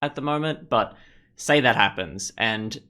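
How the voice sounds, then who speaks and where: A man's voice: mid-range voice, lively intonation, unhurried, pushed and bright, clean tone. A man giving casual gameplay commentary, rambling as he thinks aloud. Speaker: gaming video host. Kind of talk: casual game walkthrough commentary.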